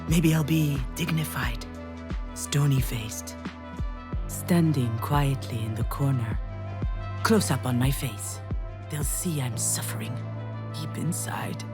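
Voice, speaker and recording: A woman's voice: low-pitched, full character voice. An actress playing an anxious, self-deprecating writer in her thirties in a video game, with a hesitant, wry, introspective delivery. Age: 30s. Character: anxious, self-deprecating 36-year-old failed writer daughter. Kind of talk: naturalistic game voice acting, dialogue and inner monologue.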